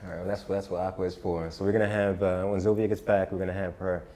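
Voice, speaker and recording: A man's voice: sits low, flat delivery, quick pace, mellow, slight background noise. A man hosting a casual interview, often repeating words as he starts his sentences. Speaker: host, interviewer.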